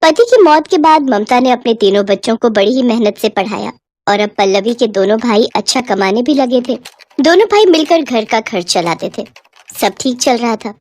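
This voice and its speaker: Lady storyteller, high voice, reading a scripted tale, really dry sound. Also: lively intonation, normal speed, a little mellow, no echo.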